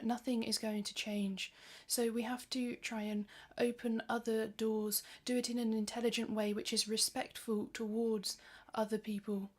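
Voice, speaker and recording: Flat-voiced female interpreter, slightly high. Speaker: interpreter for a panelist. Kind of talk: simultaneous interpretation.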